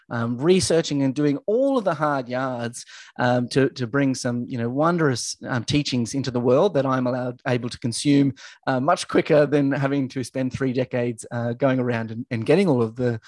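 A man's voice: mid-range voice, a little mellow, no room sound, clean studio sound. An enthusiastic male podcast host. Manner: enthusiastic, halting with many fillers. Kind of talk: conversational interview.

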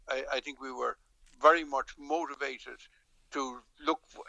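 A man's voice: mid-range voice, gravelly, pushed and bright, no room sound, lo-fi sound. A middle-aged Irish man, a politician, talking in a remote interview with frequent hesitations, restarts and a storytelling manner. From Dublin, Ireland.

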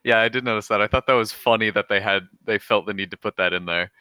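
A man's voice: fairly low pitch, quick pace, pushed and bright, clean tone. A man co-hosting a podcast in casual group banter. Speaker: podcast co-host.

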